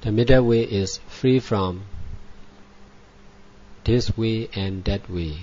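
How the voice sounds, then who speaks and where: Dull lo-fi recording, male meditation teacher, native Burmese speaker, very slow delivery, short repeated phrases, long pauses. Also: on the low side, mellow, slight background noise. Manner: measured short phrases with frequent pauses, repetitive. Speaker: Buddhist monk and meditation teacher. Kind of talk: guided meditation, spoken dhamma instruction.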